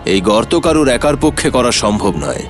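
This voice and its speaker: A man playing a sly, animated detective. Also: medium pitch, moderate pace, faint music behind, close to an everyday voice. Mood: confident, explanatory. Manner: sly, explanatory, theatrical. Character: shrewd, confident male detective revealing the culprit. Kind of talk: animated detective story acting.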